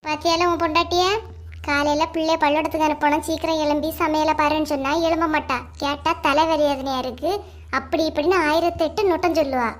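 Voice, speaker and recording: A woman's voice: high voice, flat delivery, quick pace, natural voice. A woman voicing a grumbling, suspicious elderly mother-in-law in a comedy cartoon, with a Kanyakumari Tamil accent and a nagging manner. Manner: nagging, complaining.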